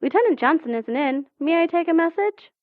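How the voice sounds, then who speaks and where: A woman playing a brisk, officious police receptionist in an audio drama, heard over a tinny phone line. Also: extremely high pitch, lively intonation, no room sound.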